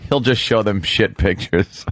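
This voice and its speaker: A man's voice: fairly low pitch, a little slow, a bit bright, dry recording. A man co-hosting a radio show, telling stories in casual, comic banter with salty language.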